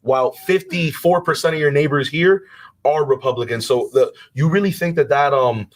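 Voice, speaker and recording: A man's voice: on the high side, moderate pace. A man with a Miami accent, hosting a casual live interview, talking in loose rambling stretches with many restarts. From Cuba. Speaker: host.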